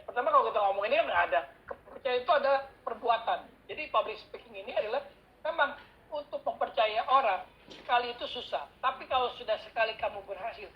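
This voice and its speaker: A man giving a casual workshop talk as guest speaker, chatty and animated, telling anecdotes in a slangy way. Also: high-pitched, lo-fi sound, a little hiss or hum. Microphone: online call mic.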